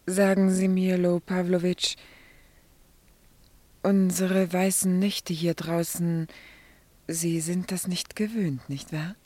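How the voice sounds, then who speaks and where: An actress playing a gentle, anxious middle-aged wife and mother in a German radio drama, soft, breathy and hesitant. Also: fairly low pitch, little pitch movement, slow pace, pauses often, no room sound. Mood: uneasy, soft.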